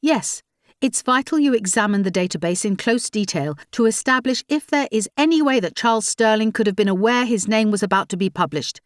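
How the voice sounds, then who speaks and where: A woman's voice: mid-range voice, lively intonation, brisk, dry recording, studio quality, voice pushed up, lightly acted. A woman voicing a determined female investigator who presses her point in a clipped, insistent way.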